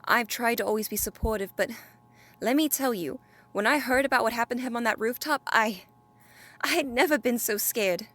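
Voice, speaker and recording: Actress as a friendly, effusive higher-voiced young woman. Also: a little fast, a bit bright. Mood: heartfelt. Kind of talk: audio drama dialogue.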